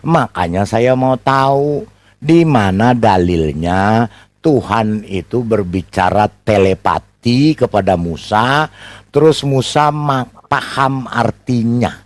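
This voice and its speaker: A man with a mid-pitched voice, close and dry, argues in an unscripted religious debate. He probes insistently, restating his demands and points for emphasis as he steers the exchange. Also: expressive, slow, no echo. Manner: insistent, probing, repeats himself for emphasis. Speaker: debate moderator and questioner.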